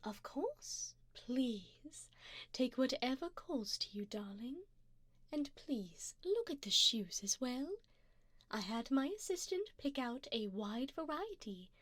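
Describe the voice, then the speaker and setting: A woman playing a wealthy, doting vampire sugar mommy in an ASMR roleplay, speaking softly in a genteel, seductive way, often slipping into a whisper close to the mic. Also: high-pitched, very animated, dry recording, heavily acted. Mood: indulgent, gracious. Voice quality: soft, breathy, often dropping to a whisper. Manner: slow, genteel, formal, seductive. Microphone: close binaural microphone.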